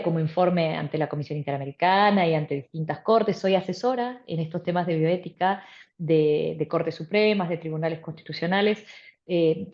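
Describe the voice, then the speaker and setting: A woman's voice: on the low side, highs cut off. A middle-aged woman from Argentina, explaining her work articulately in an interview and repeating phrases for emphasis. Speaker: interviewed bioethicist and law professor.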